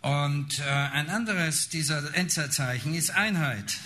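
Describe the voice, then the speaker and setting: A man interpreting a sermon live, phrase by phrase, often halting as he searches for words. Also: fairly high pitch, pushed and bright.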